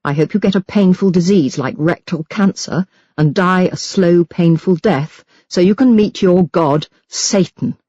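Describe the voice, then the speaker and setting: A woman's voice: on the low side, a little slow, few pauses, dark tone, breathy edge, no echo, lo-fi sound. Woman reading as a venomous religious zealot, close and dry. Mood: scornful, threatening. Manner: scornful, threatening, emphatic. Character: venomous, self-righteous religious hate-mail writer. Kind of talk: dramatised audiobook reading of letters.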